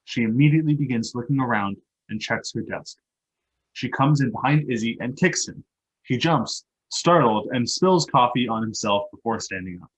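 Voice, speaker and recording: A man with a slightly husky voice reading stage directions as scripted narration for a play. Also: medium pitch, expressive, normal speed, poor audio. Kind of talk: scripted narration at a live table read.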